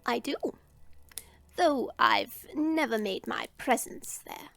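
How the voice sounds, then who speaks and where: A woman playing a kindly, ailing old village witch in a fantasy audio drama, speaking gently and formally. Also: fairly high pitch, expressive, takes it slowly, creaky, soft background music, heavily acted. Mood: calm, welcoming. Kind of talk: fantasy audio roleplay acting.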